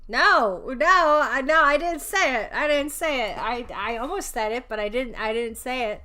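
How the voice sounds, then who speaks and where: A woman's voice: high voice, normal speed, pushed and bright. A woman streamer chatting casually while gaming, sleepy and joking, with mumbled asides.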